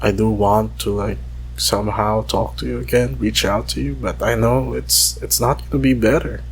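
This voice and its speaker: A man leaving an intimate, confessional message, halting and wistful, with long pauses. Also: on the low side, steady tone, creaky, slight background noise, poor audio. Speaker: voicemail caller.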